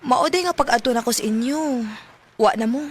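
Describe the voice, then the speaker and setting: A woman's voice: on the high side, moderate pace, heavy background noise, natural voice. A woman with a Cebuano accent acting a frank, forward young woman in love in a radio drama. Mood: wistful. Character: frank, forward young woman reunited with her old crush.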